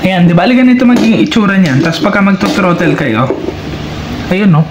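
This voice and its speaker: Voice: medium pitch, lively intonation, brisk. A Filipino vlogger of unclear sex giving a casual, step-by-step hands-on tutorial while working at a table. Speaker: DIY motorcycle vlogger. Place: indoor room at a tabletop. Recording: roomy, very noisy with clinking parts. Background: loud noise and clinking of handled metal parts.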